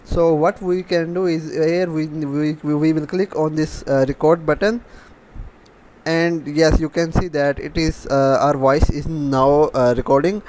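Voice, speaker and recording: A man hosting an unscripted programming tutorial, explaining in a halting, repetitive way. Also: fairly high pitch, fairly even intonation, darkish tone, a little hiss or hum. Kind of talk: unscripted software tutorial narration.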